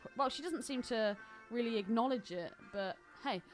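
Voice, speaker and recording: A woman's voice: fairly high pitch, moderate pace. A woman co-hosting a film podcast, chatting casually in a wry, teasing manner. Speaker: podcast co-host. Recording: film score playing underneath. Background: film soundtrack music.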